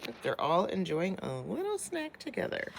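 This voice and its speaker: A woman's voice: lowish voice, wildly swooping pitch, moderate pace, vocal fry, breathy edge, poor audio. A woman teacher reading a children's picture book aloud, expressively, drawing out phrases and speaking directly to young listeners.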